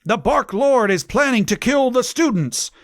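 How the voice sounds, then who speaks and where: A man voicing an outraged older woman official in a melodramatic, shouting storybook manner. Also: very high-pitched, lively intonation, unhurried, dry recording, clean studio sound, pitched above the performer's own voice, exaggerated voice.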